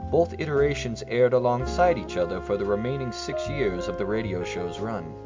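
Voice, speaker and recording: A man plays a genial host of a radio history show. He narrates formally and with measure in a lowish, slightly muffled voice, music clearly behind him. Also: natural voice.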